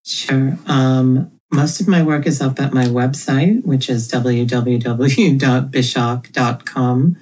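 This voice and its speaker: A woman from Ethiopia, a guest illustrator in a casual interview, wry and quick to laugh. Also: rumbling low, steady tone, darkish tone, slightly rough.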